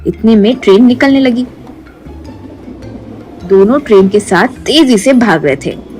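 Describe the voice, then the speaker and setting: A woman's voice: on the high side, lively intonation, unhurried, a little mellow, soft background music. A woman reading scripted story narration as the narrator.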